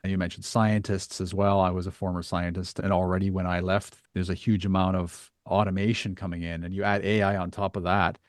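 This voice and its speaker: Low-voiced man hosting a podcast interview. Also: level tone, brisk, hardly any pauses, dry recording, clean studio sound. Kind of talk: conversational interview.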